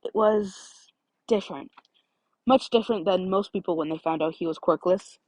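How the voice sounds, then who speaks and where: A woman narrates fiction in a slightly low, husky voice. The recording is very dry and close, and she reads in a scripted storytelling style. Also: normal speed, a little mellow, no echo, low-quality recording. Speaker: audiobook-style fan fiction reader.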